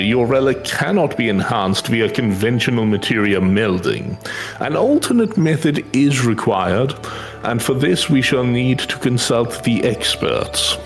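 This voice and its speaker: A man voicing a learned, courteous mentor in a fantasy game, with measured and pompous old-fashioned phrasing. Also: mid-range voice, natural voice. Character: learned, courteous scholar mentor instructing his assistant. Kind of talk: fan read-aloud of fantasy game dialogue.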